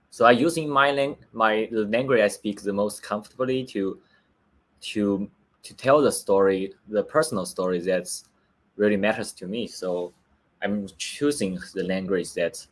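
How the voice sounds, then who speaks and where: Slow, halting man with a Chinese accent. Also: lowish voice. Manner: halting, searching for words, many restarts. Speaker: film director. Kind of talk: remote interview. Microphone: video call.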